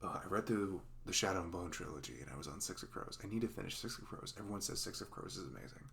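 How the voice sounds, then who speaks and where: Man acting as dorky male best friend, ASMR roleplay, very deep, vocal fry, velvety, playful, teasing, rambling. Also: fast, fairly bright tone. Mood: enthusiastic, rambling.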